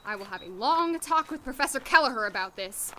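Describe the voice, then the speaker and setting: Actress as newcomer doctor for audio drama: sharp high voice, wry, rambling, quick to take offense. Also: female voice, animated, a little hiss or hum. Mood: indignant, sarcastic. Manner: rambling, wry muttering to herself. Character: flustered, sarcastic, indignant young woman doctor new in town.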